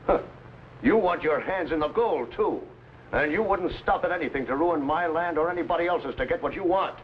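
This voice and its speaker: An actor playing a proud, anxious frontier landowner in an old adventure serial, speaking in an earnest, declamatory way. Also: male voice, a little high, fairly quick, a little hiss or hum, natural voice. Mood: accusing. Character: John Sutter, proud frontier landowner, anxious for his land. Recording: dull vintage recording, loud music.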